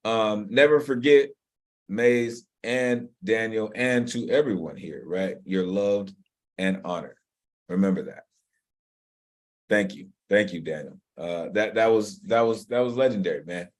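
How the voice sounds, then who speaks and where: Slow, animated man as the open mic host on a video call, earnest and loud by turns. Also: fairly low pitch. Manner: animated, shouts and laughs between earnest praise. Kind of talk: hosting an online poetry open mic.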